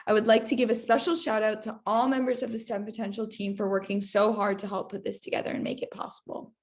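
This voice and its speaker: A woman's voice: medium pitch, little pitch movement, quick pace. A young woman moderating an online webinar, giving a scripted, formal welcome and introductions over a video call. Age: young adult.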